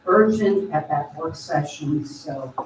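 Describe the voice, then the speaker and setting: Slow, deliberate speaker in an echoey chamber. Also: mid-range voice, slight background noise. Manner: measured, deliberate, announcing decisions. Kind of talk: formal remarks at a council meeting. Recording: dull, echoey hall from far off.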